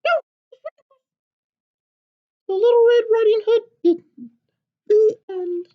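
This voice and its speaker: A woman voicing an eager, excitable little girl chicken in a storybook read-aloud, squeaky and pleading. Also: slow, dull audio. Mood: urgent, bossy. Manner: squeaky, exclaiming, childlike.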